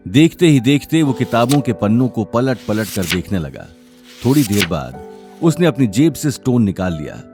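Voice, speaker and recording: A man's voice: mid-range voice, a little mellow. A man narrating an audio drama series as a scripted, dramatic story.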